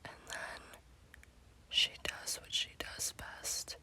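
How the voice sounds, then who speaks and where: A woman hosting a spooky ASMR story series, speaking in a breathy, hushed whisper with a slow, deliberate delivery.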